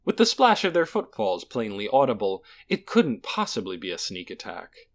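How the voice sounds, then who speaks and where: A man's voice: lowish voice, huge pitch swings, normal speed. A man narrating a fantasy audiobook in a dramatic, scripted storytelling style.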